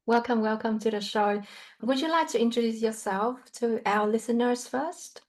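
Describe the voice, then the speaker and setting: A woman's voice: a little high, normal speed, darkish tone. A woman hosting a podcast, reading a formal, welcoming introduction of her guest. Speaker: podcast host. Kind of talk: scripted show introduction. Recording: music playing under the voice.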